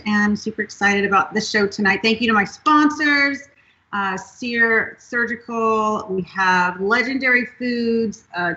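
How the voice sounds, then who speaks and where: A woman's voice: medium pitch, a bit bright. A woman from Texas hosting a casual talk show over a video call, bubbly and gushing, laughing often. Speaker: host. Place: living room, indoors. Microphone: webcam on video call. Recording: dull lo-fi video call audio.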